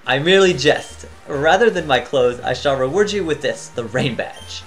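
A man's voice: fairly high pitch, expressive, a little slow, a bit bright, faint music behind. A man reading the lines of a vain, elegant male gym leader from a video game, in a grand, theatrical way. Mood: lofty.

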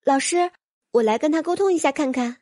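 A woman voicing a friendly, gentle, coaxing teenage girl in an audiobook. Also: sits high, fairly quick, no room sound, studio quality, close to an everyday voice. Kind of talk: audiobook character voice.

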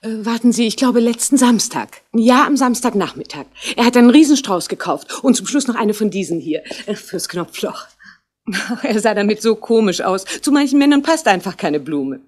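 An actress playing a friendly, chatty flower seller in a 1960s radio play, polite and a little coquettish. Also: female voice, on the high side, animated, moderate pace, darkish tone. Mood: friendly, flirtatious.

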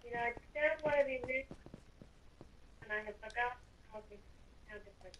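A woman with a high, bright voice chats casually while gaming. She sounds away from the mic, over a slightly noisy voice-chat connection. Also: fairly quick. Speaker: video game player in a group roleplay. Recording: distant, noisy, phone-like voice chat.

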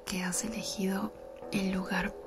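A woman's voice: medium pitch, level tone, measured pace, over music, lightly acted. A woman playing a giggly whispering flirt. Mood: flirty whisper. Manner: soft close whispering, giggly. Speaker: ASMR roleplay performer. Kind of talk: whispered ASMR roleplay.

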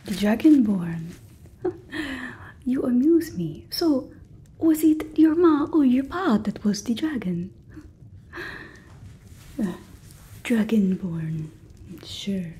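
Whispering, slow-talking stern fantasy guard played by a woman. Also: on the high side, sing-song, dark tone, a little hiss or hum. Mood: mocking, amused. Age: 20s. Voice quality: breathy, mostly whispered. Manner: suspicious, sarcastic, unhurried. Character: stern, mocking female fantasy guard searching a thief. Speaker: ASMR roleplay performer. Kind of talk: whispered ASMR roleplay.

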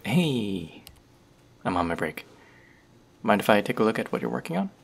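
A man's voice: fairly low pitch, big pitch swings, normal speed, creaky, slightly rough, heavily acted. A man doing a teasing young barista. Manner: playful, teasing, chatty. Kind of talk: audio roleplay for a listener.

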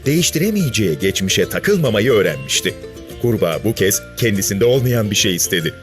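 A man's voice: lowish voice, very animated, brisk, soft background music. A man narrating a scripted story for children.

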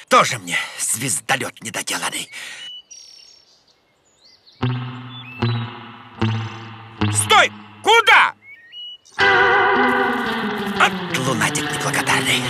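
A man's voice: fairly high pitch, sharp tone, heavily acted. A man voicing a grumpy, stubborn know-it-all bear in a cartoon, blustering and whining as he stammers complaints. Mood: indignant. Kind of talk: animated cartoon voice acting. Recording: music behind the voice.

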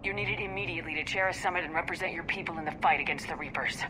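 An actress playing a determined human military commander in a video game, speaking in a firm, direct, businesslike way. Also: female voice, on the low side, racing, background noise, natural voice. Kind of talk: video game cutscene acting. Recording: battlefield ambience behind.

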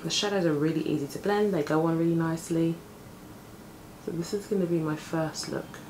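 A woman in her thirties with a deep morning voice, chatting casually as she does her makeup at home in front of a close camera. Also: a little fast, low-quality recording. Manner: chatty, relaxed, talks while applying makeup. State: morning voice, deeper than usual. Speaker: beauty vlogger. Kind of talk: casual makeup tutorial talk. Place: home flat, indoors, window open. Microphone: camera on a desk at close range. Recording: home room with outside noise. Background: plane and street noise through the open window.

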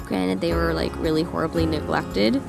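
A woman's voice: sits low, fairly even intonation, normal speed, gravelly, over loud music. A woman in her thirties giving an enthusiastic garden tour for her homesteading vlog, recorded outdoors in a garden. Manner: enthusiastic, gushing about plants. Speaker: homesteading vlogger.

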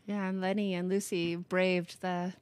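A woman's voice: on the low side, level tone, no echo. Dry-voiced woman hosting a radio talk. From Montana. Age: 40s. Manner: friendly, unhurried, many ums. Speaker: host.

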